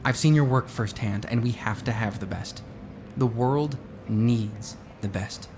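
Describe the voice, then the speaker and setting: A man's voice: fairly low pitch, a little slow, noisy background. A man playing a confident, glib billionaire space colony founder in a naturalistic audio drama, talking in a casual, assured, persuasive way. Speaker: voice actor in a musical audio drama.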